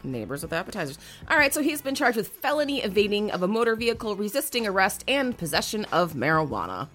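A woman's voice: medium pitch, very animated, fast, fairly bright tone, soft background music. A woman co-hosting a casual podcast, swearing, laughing and reading out news stories. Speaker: podcast co-host.

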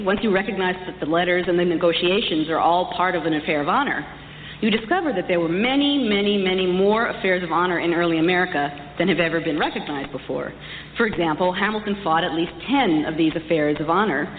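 A woman giving a prepared history lecture, speaking articulately and emphatically with a lightly wry touch. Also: mid-range voice. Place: large reverberant hall. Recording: echoey hall, muffled, some background noise.

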